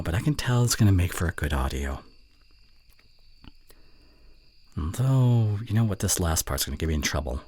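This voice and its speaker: Male actor as young college guy, deep husky voice, animated intonation, teasing and fond, intimate ASMR roleplay, pausing often. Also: heavily acted. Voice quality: breathy, often slipping into near-whisper. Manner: intimate, teasing, halting with pauses. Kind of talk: scripted ASMR roleplay addressed to the listener.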